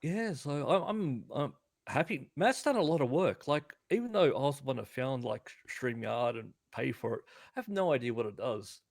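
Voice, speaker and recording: A man's voice: mid-range voice, animated, moderate pace, gravelly. A man co-hosting a podcast in casual chat, talking in an enthusiastic, rambling way. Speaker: podcast co-host.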